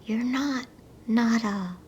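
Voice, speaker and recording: A woman's voice: on the high side, slow pace, darkish tone, slight background noise, voice pushed up. A woman voicing an anxious, questioning schoolgirl in a storybook, with urgent, mostly whispered questions. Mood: anxious wonder. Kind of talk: whispered ASMR storybook reading.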